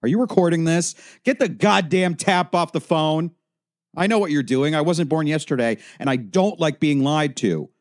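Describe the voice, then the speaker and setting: A man acts out a sardonic, paranoid man from a dramatic story. The voice is low and dark, the manner demanding and agitated. Every phrase comes curt and sarcastic, in a dry recording. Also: fairly even intonation, normal speed, no room sound, natural voice. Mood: furious, paranoid.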